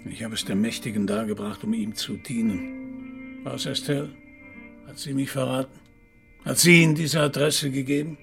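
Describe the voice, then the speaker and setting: An actor playing a haughty, fanatical killer in a radio crime drama, declaiming with contempt in a gravelly voice. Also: male voice, mid-range voice, fairly even intonation, normal speed, fairly bright tone, soft background music. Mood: scornful, agitated. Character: pompous, disdainful male zealot and killer, cornered.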